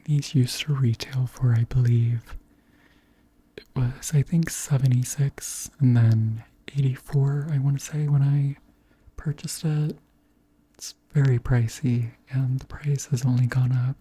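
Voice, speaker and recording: Hushed, breathy ASMR whisperer around thirty. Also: mid-range voice, fairly even intonation. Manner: gentle, unhurried, conversational. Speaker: ASMR beauty creator. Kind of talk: soft-spoken ASMR chat and product show-and-tell.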